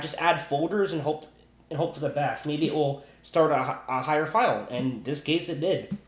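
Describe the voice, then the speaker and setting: Male gaming creator, higher-than-average pitch, casual and repetitive with slangy enthusiasm, roomy echoing home recording. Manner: repetitive, stumbling, restarts sentences often. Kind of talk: rambling casual commentary on video game glitches.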